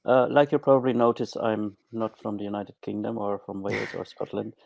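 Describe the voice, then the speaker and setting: A man's voice: lowish voice, flat delivery, fairly quick, creaky, a little mellow, dry recording. A middle-aged German man with a German accent, a podcast guest speaking hesitantly with many ums and restarts. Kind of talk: podcast interview.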